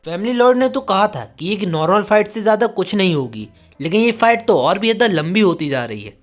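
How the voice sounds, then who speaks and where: A loyal knight , acted out by a story narrator, anxious for his master and earnest in speech. The pitch is lively, with music underneath. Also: a little fast, lightly acted. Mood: worried. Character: loyal, anxious male knight retainer of young lord.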